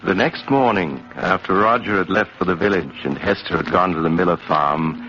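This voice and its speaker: Low-voiced male radio mystery narrator. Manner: measured, dramatic. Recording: muffled 1950s radio recording. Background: faint music.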